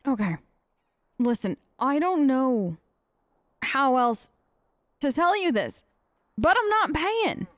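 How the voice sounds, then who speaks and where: Excitable lady doing a lets play, high voice, super lively, gushy and playful. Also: slow, long pauses, dry recording, studio quality. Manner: gushing, playful, gasping. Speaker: let's play host, role-playing her character. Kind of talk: casual improvised in-character game commentary.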